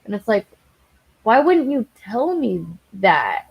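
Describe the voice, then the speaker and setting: A woman guest in an interview, a member of a victim's family, talking in an animated and exasperated way. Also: a little high, slow, husky, lo-fi sound. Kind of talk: casual interview talk.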